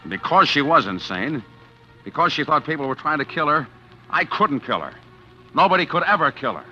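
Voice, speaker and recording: A man's voice: on the high side, sing-song, brisk, over music. An actor playing a desperate, scheming man who narrates his own story in a 1940s radio drama. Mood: tense. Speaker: narrating lead character. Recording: dull old radio broadcast with hiss.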